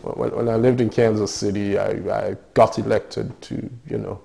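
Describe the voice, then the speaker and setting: Man, middle-aged, dark timbre, hesitant, interview. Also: on the low side, flat delivery, normal speed, creaky, slight background noise. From Nigeria. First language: Yoruba. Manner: thoughtful, hesitant, many fillers and restarts. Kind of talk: oral history interview.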